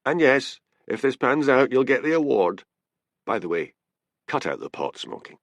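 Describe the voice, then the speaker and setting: A man's voice: medium pitch, big pitch swings, normal speed, no echo, pitched above the performer's own voice. A man voicing a stern, brusque Scottish policeman with a curt, demanding way of talking, in an audiobook story. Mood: brisk.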